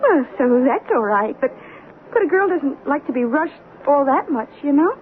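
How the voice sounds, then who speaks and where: A woman's voice: sits high, lively intonation, normal speed. An actress playing a coy young good-time girl in a radio drama, girlish, slangy and wheedling. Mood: coy, hesitant. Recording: tinny 1970s radio broadcast, crowd behind.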